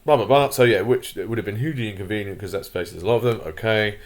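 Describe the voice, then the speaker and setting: A man hosting a casual commentary vlog, his voice croaky, rambling with lots of fillers and opinionated asides. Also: fairly low pitch, quick pace. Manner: rambling, many fillers and restarts. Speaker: channel host.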